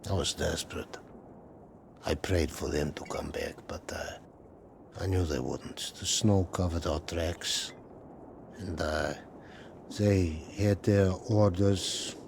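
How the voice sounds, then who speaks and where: An actor playing a polite, resigned Soviet engineer in a game, with a Russian accent and halting English. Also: male voice, low voice, gravelly, background noise, heavily acted. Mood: resigned, sorrowful. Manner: halting, sparse non-native English phrasing. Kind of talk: naturalistic video game drama acting. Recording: loud music behind dialogue.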